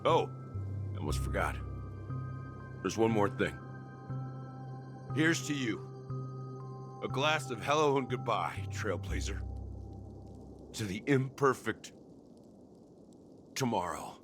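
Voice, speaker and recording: A man's voice: lowish voice, animated, takes it slowly, long pauses. An actor playing a wry, world-weary male outcast in a game, musing in a drawl as if to an old comrade. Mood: bittersweet, sardonic. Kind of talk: dramatic game monologue. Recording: clear music behind the voice. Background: music.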